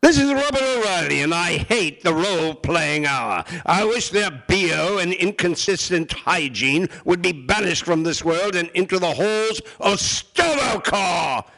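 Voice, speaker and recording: A man's voice: high voice, lively intonation, a little slow, creaky, hardly any pauses, pushed and bright, heavily acted, poor audio. A man playing a pompous, disgruntled denouncer in a scripted comedy testimonial. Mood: indignant. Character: grandiose, disgruntled man denouncing a radio show.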